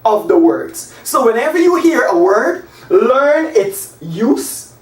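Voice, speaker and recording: A man in his forties from Guyana, teaching an English lesson at a whiteboard and spelling out example sentences slowly and with emphasis. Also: extremely high pitch. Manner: animated, gesturing, slow emphatic example sentences. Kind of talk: classroom lesson. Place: small room by a whiteboard. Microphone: camera across the room. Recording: echoey room, voice from a distance.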